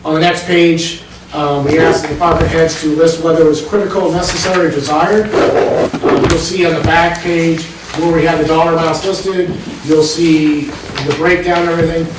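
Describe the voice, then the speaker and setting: A man's voice: fairly high pitch, little pitch movement, normal speed, far from the mic, noisy background. Man presenting in an echoey meeting room. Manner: explanatory, walks listeners through pages, frequent ums. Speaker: planning board member presenting.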